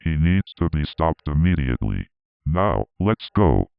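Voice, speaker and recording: Audio drama villain: man as a menacing, gloating dragon, rock-bottom pitch, resonant tone, dry but dull recording. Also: very animated, unhurried, gravelly, dry recording, heavily acted. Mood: menacing resolve. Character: gleeful menacing male dragon villain, arsonist. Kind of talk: amateur audio drama monologue.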